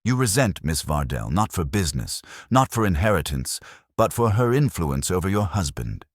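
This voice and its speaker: A man voicing a stern, imperious detective who speaks formally and deliberately in an audiobook mystery. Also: deep voice, sing-song, no echo, clean studio sound, pitched above the performer's own voice. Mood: stern, indignant. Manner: formal, deliberate, pronouncing judgment. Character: stern, imperious male genius detective, coldly accusing.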